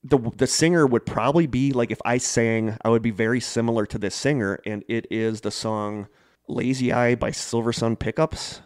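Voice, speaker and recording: A man talks as a podcast co-host in a casual, chatty style. His voice sits at an average pitch and is recorded close and dry, with an informal, conversational delivery. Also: normal speed, dry recording. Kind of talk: casual chat between co-hosts. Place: small home podcast studio.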